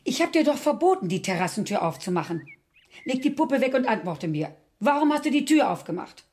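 An actress playing a fussy, caring, chatty housekeeper in a radio play. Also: female voice, on the high side, sing-song, a little fast, a little mellow. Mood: stern, fussing.

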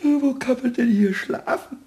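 An actor playing a small, childlike male kobold in a German radio play, cheeky, stubborn and excitable with a squeaky voice. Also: measured pace. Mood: sleepy, sulky. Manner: excitable, self-repeating, sing-song when rhyming.

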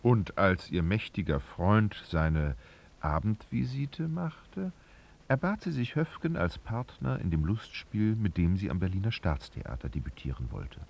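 A man narrating a literary radio drama in a gravelly voice, with expressive, knowing, ironic storytelling. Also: deep voice, measured pace, exaggerated voice. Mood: wry narration. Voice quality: creaky, gravelly.

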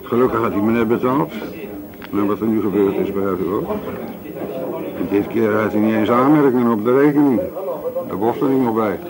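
A male actor as a gossipy, bossy café owner in a radio drama. His voice is creaky and he grumbles self-righteously. The hubbub of a busy café surrounds him. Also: fairly low pitch, brisk, pauses often, lightly acted, poor audio. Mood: defensive grumbling. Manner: grumbling, hesitant fillers, seeks agreement. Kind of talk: naturalistic radio drama dialogue. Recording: busy crowd noise around the voice. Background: café chatter.

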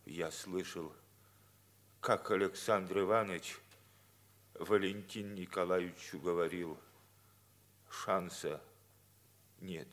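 A man's voice: deep voice, fairly even intonation, slow pace, long pauses, fairly bright tone. An actor playing a regretful old grandfather with a subdued, heavy manner in a 1950s radio play. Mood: regretful, grave. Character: regretful old grandfather passing on grave news. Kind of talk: 1950s Soviet radio theatre acting.

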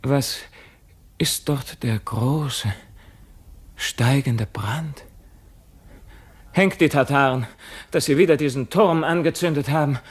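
An actor in a German radio play, playing a feverish, haunted dying king with slow, declamatory delivery. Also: mid-range voice, husky. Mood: agitated, commanding. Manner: slow, declamatory, swinging from cries to faint murmurs.

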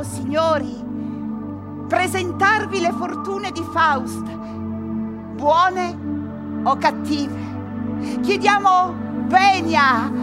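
An actress playing a knowing, solemn chorus narrator, declaiming verse with deliberate pauses in a radio play. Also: female voice, high-pitched, exaggerated voice. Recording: loud music behind the voice. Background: loud music.

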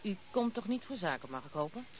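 A woman's voice: mid-range voice, big pitch swings, fast, lightly acted. An actress playing a proud, outspoken young noblewoman in a Dutch radio play, with a brusque, mocking manner. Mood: guarded, cool. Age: 20s. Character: blunt, proud, unconventional young noblewoman of twenty-six. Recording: tinny band-limited old radio play recording, noisy.

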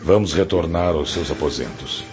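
A Brazilian actor playing a stern, solemn spirit teacher with an air of authority in a radio drama. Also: male voice, a bass voice, moderate pace. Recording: dull lo-fi audio under loud music.

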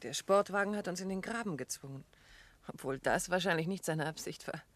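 An actress playing a detective's composed, gently probing wife in a 1950s German radio play, with polite manners. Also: female voice, on the low side, fairly quick, no room sound, close to an everyday voice. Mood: shaken, composed.

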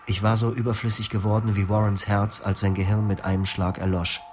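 An actor voicing a young man who recalls his starving youth in a flat, confessional radio-drama monologue. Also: fairly low pitch, brisk, soft background music, close to an everyday voice. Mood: bleak.

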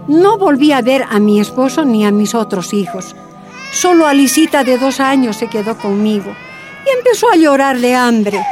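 A woman's voice: high voice, sing-song, moderate pace, music in the background. An actress playing an earnest, pained Bolivian mother giving testimony in a radio drama. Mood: sorrowful.

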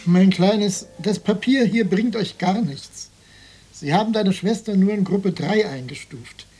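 A woman's voice: medium pitch, a little slow, warm tone, breathy edge, soft background music. An actress playing a motherly, frank bystander in a radio drama, urging and plain-spoken. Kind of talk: German radio drama acting.

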